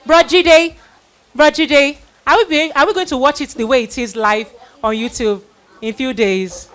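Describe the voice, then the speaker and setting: A woman with a high voice hosts a live children's church event. She speaks as a middle-aged master of ceremonies, repeating phrases as she introduces people and urges them on. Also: unhurried, fairly bright tone. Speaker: host of a children's Bible play event.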